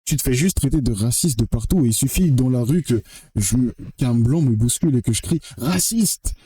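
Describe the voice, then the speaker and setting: A man's voice: medium pitch, animated, normal speed, dark tone, breathy edge, no echo. A young man from French Guiana giving a blunt, emphatic opinion monologue to the camera, swearing freely and often repeating or restarting his phrases. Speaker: vlogger.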